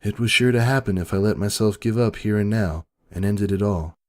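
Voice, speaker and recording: A man's voice: fairly low pitch, a little fast, darkish tone. A man giving an earnest, solemn dramatic narration of a first-person story. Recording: background music.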